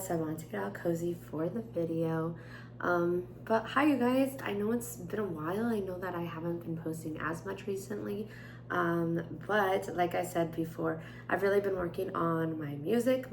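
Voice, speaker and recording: A young woman with a raspy, tired voice, chatting casually to her viewers and then reading her journal entry aloud. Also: fairly low pitch, roomy sound, slight background noise. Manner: chatty with frequent fillers, gentle and candid. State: tired, voice strained. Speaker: vlogger. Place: indoors at home, at a table. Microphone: camera on tripod.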